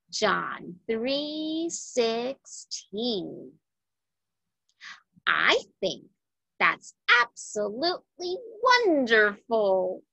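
Slow, animated woman reading to children. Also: medium pitch, creaky, slightly rough, no room sound, rough recording. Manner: deliberate, emphatic phrasing for young listeners. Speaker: children's storybook reader. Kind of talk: expressive read-aloud of a children's Bible story.

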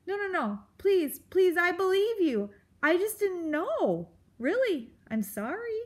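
Woman putting on a timid, very high-pitched little mouse. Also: big pitch swings, takes it slowly, exaggerated voice. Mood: meek. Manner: stammering, earnest, apologetic. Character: timid, frightened young female mouse, pleading.

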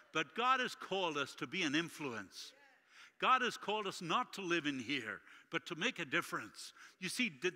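Man, Spanish accent, guest preacher, animated sermon, headset mic, big church sanctuary. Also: a little high. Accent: Latin American Spanish. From Uruguay. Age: middle-aged. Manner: animated, gesturing widely while walking the stage. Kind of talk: sermon with jokes. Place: large church sanctuary stage. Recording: long hall reverb.

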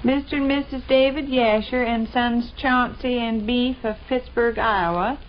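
A woman's voice: fairly high pitch, fairly even intonation, measured pace, lightly acted. An American woman playing a teasing, practical housewife and mother in a folksy, chatty 1940s radio comedy style. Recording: dull, noisy 1940s radio recording.

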